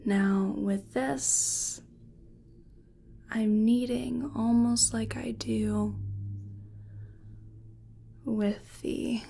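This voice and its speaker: Female ASMR performer as a soothing energy healer; breathy, crackly soft whisper, very slow delivery. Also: on the high side, steady tone. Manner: gentle, soothing, unhurried. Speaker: ASMR performer role-playing a reiki practitioner. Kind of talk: soft-spoken ASMR personal attention roleplay.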